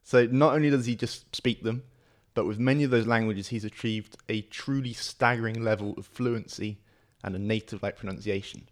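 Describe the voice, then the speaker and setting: A man's voice: fairly low pitch, fairly even intonation, moderate pace. A man hosting a podcast interview, asking questions in an enthusiastic, encouraging way. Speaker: podcast host.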